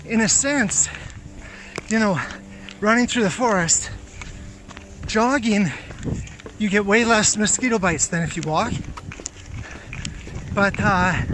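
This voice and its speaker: A man with a notably high voice talks very slowly, thinking aloud. His monologue is rambling and halting, recorded outdoors with clear background noise. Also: fairly bright tone. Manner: halting, pondering, throat-clearing. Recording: noisy outdoor recording with clicks.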